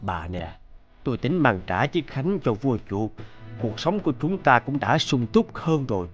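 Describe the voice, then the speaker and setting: A kindly old peasant man played by a male actor speaks gently and humbly in a cartoon folktale. His delivery swings expressively at a middle pitch, with hesitant little murmurs, and music plays clearly behind him. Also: sing-song, normal speed, dull audio, close to an everyday voice. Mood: earnest. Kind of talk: animated folktale dubbing.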